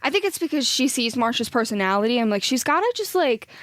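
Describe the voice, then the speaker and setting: A woman co-hosting a podcast, chatting and telling a story, lively and quick to laugh. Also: fairly high pitch, dry recording. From Philadelphia area. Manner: chatty, laughs often, enthusiastic. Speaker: podcast co-host. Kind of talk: casual chat and storytelling.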